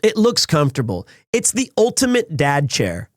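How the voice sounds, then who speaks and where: A man in his thirties, chatting casually with viewers on a live stream, slightly raspy and hoarse after being sick, rambling and excitable. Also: on the high side, moderate pace, no room sound, clean studio sound. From Wales. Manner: rambling, restarts often, excitable.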